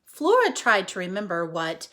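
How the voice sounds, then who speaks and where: A woman reading a story aloud to children like a teacher, expressively, pausing to explain things simply and check in with her listeners. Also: mid-range voice, wildly swooping pitch, fairly bright tone. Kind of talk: animated read-aloud storytelling with explanatory asides.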